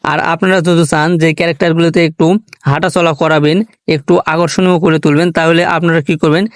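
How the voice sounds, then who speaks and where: A man's voice: a little high, flat delivery, fast talker, clean tone, dry recording, studio quality. A man hosting a tech tutorial, giving step-by-step instructions and addressing viewers as friends. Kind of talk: step-by-step app tutorial narration.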